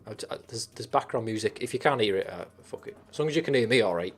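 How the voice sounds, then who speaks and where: A man's voice: lowish voice, lively intonation, fast talker, breathy edge. A man with a Teesside accent hosting a live stream, chatting casually and swearing as he reads and answers viewer comments. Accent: Teesside English. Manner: rambling and sweary, with frequent restarts.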